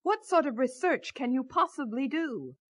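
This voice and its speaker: An actress playing a resentful wife in a radio drama, cutting and drily sarcastic. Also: female voice, high-pitched, sing-song, normal speed, dry recording.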